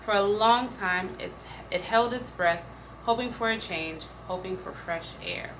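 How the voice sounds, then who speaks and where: A woman's voice: mid-range voice, a little slow, a bit bright, low-quality recording. A woman reading spoken-word poetry aloud in an earnest, emphatic way. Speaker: poetry reader. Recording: faint background noise.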